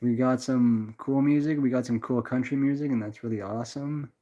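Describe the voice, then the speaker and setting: A relaxed young Canadian man talks loosely to his viewers at a medium pitch. He rambles, restarts his thoughts and keeps calling people brother. The sound is a little dull and lo-fi. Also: a little fast. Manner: laid-back, rambling, calls everyone brother. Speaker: livestream host reading chat. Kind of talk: casual livestream chat.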